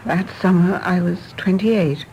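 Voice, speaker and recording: A woman's voice: on the low side, measured pace, warm tone, highs cut off, slight background noise. A woman playing a reflective middle-aged widow in a radio drama, candid and restrained. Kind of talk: stage-play radio drama acting.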